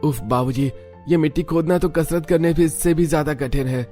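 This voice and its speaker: A man's voice: fairly high pitch, normal speed, dark tone, close to an everyday voice. An earnest young husband and devoted son voiced by a man in a Hindi cartoon story. He speaks in exclamations, with faint music underneath. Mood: weary.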